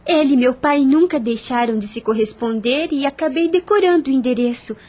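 An actress with a Brazilian accent playing a gentle, sensible woman who calms others in a radio drama. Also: sits high, brisk. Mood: practical, encouraging. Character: gentle, sensible woman calming her frightened companions.

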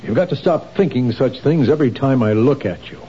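A man playing a calm, worried husband. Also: medium pitch, a little fast, a little hiss or hum, lightly acted. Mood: concerned. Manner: calm, concerned, gently probing. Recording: dull lo-fi 1970s radio drama.

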